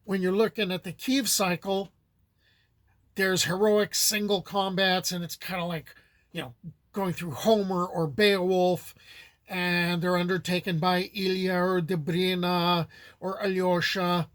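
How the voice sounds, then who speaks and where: A man's voice: high voice, little pitch movement, unhurried, fairly bright tone, no echo. A man who hosts a folklore channel, giving a casual, rambling lecture with many asides. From United States.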